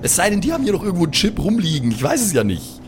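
A man's voice: sits high, huge pitch swings, very fast, soft background music. A man chatting casually as a player in an improvised tabletop game. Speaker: player in tabletop audio play.

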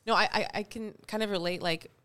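A woman's voice: medium pitch, steady tone, quick pace, pushed and bright, smooth. A young woman co-hosting a podcast in casual chat, talking with many fillers. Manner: chatty, lots of likes and fillers. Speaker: podcast co-host.

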